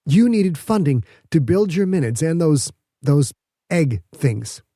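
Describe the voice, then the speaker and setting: Smug female politician, read by a man, slightly raised pitch, measured condescension, mellow, audiobook. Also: sing-song, no echo, clean studio sound, exaggerated voice. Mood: condescending. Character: smug, calculating woman governor, a seasoned politician.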